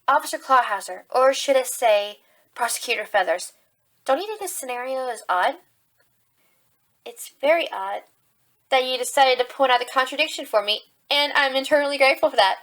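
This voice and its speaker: A woman's voice: high-pitched, lively intonation, a bit bright. A woman acting a determined young rabbit police officer, arguing assertively in a courtroom audio drama. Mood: wry, probing. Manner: assertive, deliberate, argumentative.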